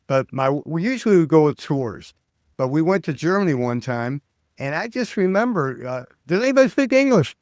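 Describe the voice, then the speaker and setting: An older American man, a public speaking coach, chatting as an interview guest and telling stories with lively quoted voices. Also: on the high side, moderate pace, dry recording, studio quality. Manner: animated storyteller, acts out quoted voices. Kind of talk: casual interview chat.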